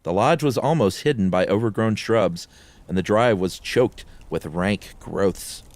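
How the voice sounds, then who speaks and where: A man's voice: lowish voice, unhurried, darkish tone, dry recording. A man co-hosting a podcast, moving between casual banter and dramatic storytelling. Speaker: podcast co-host and story narrator.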